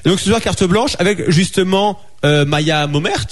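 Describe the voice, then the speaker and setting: Chatty high-voiced radio host man. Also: normal speed. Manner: lively, chatty, with many fillers. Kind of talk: live radio chat.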